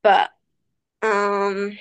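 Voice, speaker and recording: A woman, speaking as a guest on a casual interview. Her pitch sits mid-range. She answers in a relaxed but halting way, full of fillers and restarts. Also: clean tone. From New Zealand. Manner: hesitant, many fillers, relaxed. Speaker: guest, professional cyclist.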